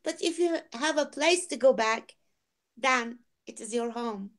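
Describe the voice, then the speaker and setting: A woman learning English summarizing a talk, choosing her words carefully in a discussion group. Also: fairly high pitch. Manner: careful, deliberate speech of a non-native learner.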